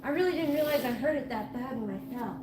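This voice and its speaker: Woman, late twenties, high-pitched voice, informal live workout chatter, repetitive phrasing, faint gym noise. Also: animated, fast talker. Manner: chatty, informal, repeats herself. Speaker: livestreamer doing a workout live. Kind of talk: casual livestream chat while exercising. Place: indoor gym. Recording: noisy gym ambience, varying mic distance.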